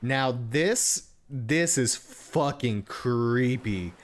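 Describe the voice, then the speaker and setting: A man's voice: medium pitch, animated, extremely slow. A man giving casual live commentary as a game streamer, informal and joking, sometimes reading on-screen text aloud.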